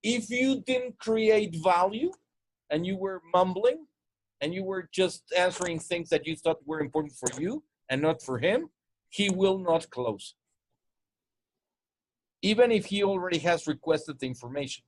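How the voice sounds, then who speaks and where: A man's voice: sits high, measured pace, dry recording, low-quality recording. A man from Mexico with a Spanish-tinged English accent, coaching on sales in casual chat, often restarting and repeating words. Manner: repetitive restarts, stumbling over words.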